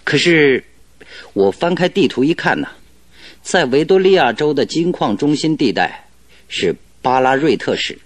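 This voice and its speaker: An actor playing a confident, cheerful detective in a radio drama, explaining things in an animated, self-assured, didactic way. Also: male voice, on the high side, a little slow, no echo. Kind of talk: Chinese radio drama acting.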